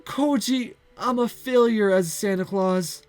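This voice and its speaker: Man putting on a sweet young girl Santa, high falsetto, wobbly tearful voice, very up and down, soft music behind. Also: big pitch swings, moderate pace. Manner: high breaking falsetto, sobbing and self-pitying. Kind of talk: amateur voiced story reading.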